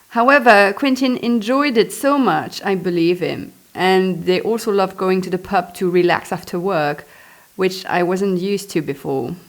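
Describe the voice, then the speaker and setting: A woman from Belgium, a native French speaker with a Belgian French accent, reads her own memoir aloud in a chatty, expressive way with spontaneous asides. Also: medium pitch. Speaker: author reading own book.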